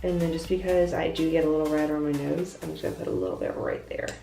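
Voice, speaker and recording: Congested young woman, low and gravelly. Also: steady tone, quick pace, mellow. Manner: chatty, self-deprecating, explains each step. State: congested, voice lower than usual. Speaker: beauty vlogger. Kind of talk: casual makeup tutorial. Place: hotel bathroom. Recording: echoey bathroom from off-mic, faint music.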